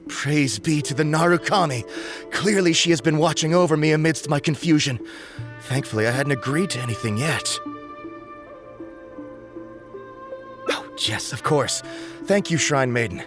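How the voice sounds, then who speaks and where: A man's voice: fairly high pitch, moderate pace, music in the background, close to an everyday voice. A man playing an earnest, devout and uncertain shrine visitor in a video game, musing politely and hesitantly. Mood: grateful, relieved.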